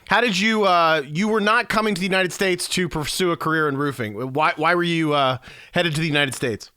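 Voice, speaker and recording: A man's voice: fairly high pitch, brisk, bright, forceful tone, smooth, no echo, clean studio sound. A man hosting a podcast, introducing his guest with enthusiastic, rambling setup. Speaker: podcast host. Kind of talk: upbeat host introduction and chat.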